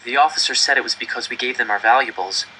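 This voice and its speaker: A man narrates a scripted first-person story in a very bright, forceful voice at an ordinary pitch. His storytelling is dramatic and tense. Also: quick pace, a little hiss or hum, low-quality recording. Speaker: audiobook reader.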